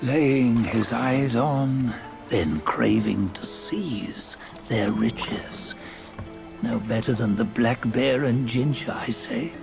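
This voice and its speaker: Slow, gravelly, stern old ferryman. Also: mid-range voice, music in the background. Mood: scornful. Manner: measured, formal, disdainful. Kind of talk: video game cutscene acting, solemn storytelling.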